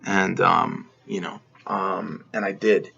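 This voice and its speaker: A man's voice: low voice, steady tone, slow pace, gravelly, sharp tone, highs cut off. A man telling a story in a rambling, laid-back way over a call. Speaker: guest. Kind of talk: casual chat.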